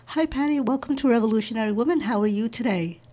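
Husky-voiced New woman hosting. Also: on the high side, fast talker. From New York. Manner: warm interjections, rambling questions, laughs. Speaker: podcast host. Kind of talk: interview hosting, intro read then chat.

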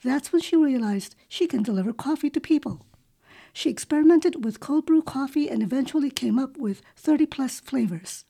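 A woman hosting an interview show, reading a prepared introduction and then chatting with a guest, with soft murmured responses. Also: sits high. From Philippines. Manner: reads intro smoothly, then chats with soft backchannels. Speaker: podcast host.

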